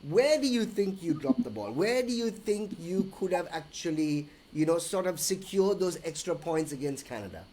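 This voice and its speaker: A man's voice: a little high, lively intonation, normal speed, hardly any pauses, dark tone, a little hiss or hum. A man from Sri Lanka hosting a casual podcast interview, chatty and prone to restarts. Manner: chatty, frequent restarts and fillers. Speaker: interviewer host.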